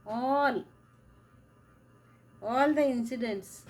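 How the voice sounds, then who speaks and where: A woman teacher giving a slow lecture, repeating key phrases as she explains. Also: fairly high pitch. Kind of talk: classroom lecture.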